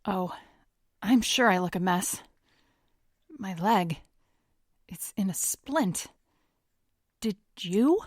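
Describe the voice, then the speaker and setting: Woman playing a poised, slow-spoken wounded heiress. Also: medium pitch, expressive, dry recording, studio quality. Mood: guarded. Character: poised, steely injured society heiress in her city's war. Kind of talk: audio drama acting.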